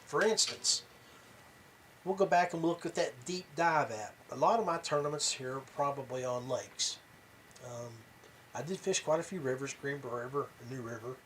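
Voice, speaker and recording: A man hosting a podcast, talking casually and haltingly to his viewers, rambling along with pauses and repeats. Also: mid-range voice, fairly bright tone, a little hiss or hum. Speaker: podcast host. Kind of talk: casual rambling talk to viewers about tournament fishing.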